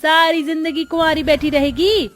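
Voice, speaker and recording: A woman voicing a sharp-tongued, exasperated poor mother who nags in a Hindi cartoon moral story. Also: high voice, little pitch movement, moderate pace, pitched above the performer's own voice, lightly acted. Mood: defensive. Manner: nagging, exasperated.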